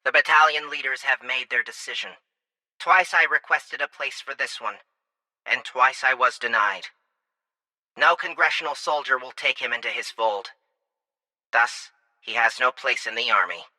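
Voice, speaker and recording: An actor playing a cold, menacing alien military commander with formal, clipped delivery in an audiobook dramatization. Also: male voice, medium pitch, normal speed, bright, forceful tone, no room sound, rough recording. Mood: stern, formal. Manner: formal, clipped, pronouncing judgment.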